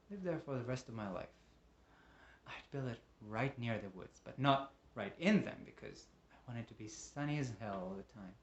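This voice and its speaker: Guy acting lonely bitter dad in a stage monologue, really animated pitch, echoey room. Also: lightly acted. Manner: emotive, swinging from hushed to agitated. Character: lonely embittered man, father missing wife and daughter. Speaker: monologue actor. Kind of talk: theatrical dramatic monologue. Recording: roomy, echoing room.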